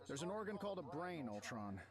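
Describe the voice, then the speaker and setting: A cocky inventor hero from a video game. His voice sits fairly high, and he tosses out glib, teasing wisecracks. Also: big pitch swings, a loud crowd. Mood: mocking. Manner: glib, teasing, quick-witted.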